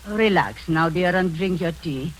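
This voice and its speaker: A woman's voice: fairly low pitch, measured pace, a little hiss or hum, natural voice, poor audio. An actress playing a scheming older woman who holds a young girl prisoner with false sweetness, in 1940s radio-drama style. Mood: falsely soothing. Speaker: Aunt Rita, the villain.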